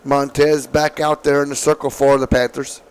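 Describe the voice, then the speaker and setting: A man calling softball play-by-play, his voice slightly raspy from allergies, with calm, measured calls. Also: medium pitch. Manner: calm, measured calls, excited on big hits. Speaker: play-by-play announcer.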